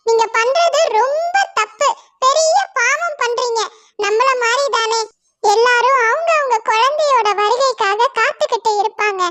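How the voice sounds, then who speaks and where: A woman's voice: very high-pitched, a bit bright, no echo. A woman voicing Latchu, a worried mother bird and wife, in an anxious, pleading manner. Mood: reproachful.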